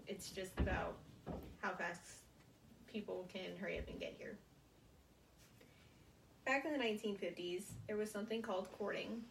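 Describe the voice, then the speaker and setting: Young American woman, gravelly fry, distant mic. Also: medium pitch. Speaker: college student giving a speech. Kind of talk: prepared informative classroom speech. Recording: roomy, distant mic, faint noise.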